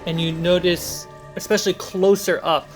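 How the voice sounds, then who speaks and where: A man's voice: sits high, level tone, moderate pace, soft background music. A man working as game master, narrating a tabletop fantasy game in a conversational way and calling out rules.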